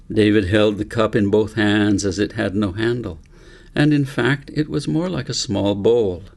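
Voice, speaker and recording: A man narrating a story for an audiobook in measured, deliberate short phrases. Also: fairly low pitch, gravelly, darkish tone. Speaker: author reading own book aloud.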